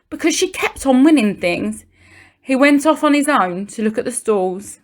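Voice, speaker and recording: A woman with a fairly high-pitched voice reads a children's story aloud. She sounds like a primary school teacher at story time, placing deliberate pauses and expressive emphasis for young listeners.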